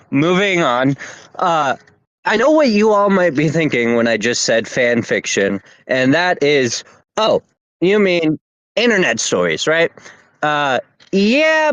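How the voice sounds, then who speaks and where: A man's voice: on the high side, big pitch swings, takes it slowly, fairly bright tone, no echo. A young man casually hosting a podcast, rambling with lots of "anyways" and laughter. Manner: rambling, many fillers and laughs. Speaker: podcast host.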